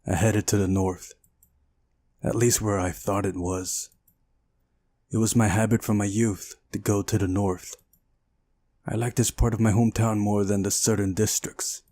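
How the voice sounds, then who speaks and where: A man's voice: lowish voice, little pitch movement, moderate pace, long pauses, mellow. A man hosting a visual novel playthrough, reading the game's narration aloud and adding casual commentary.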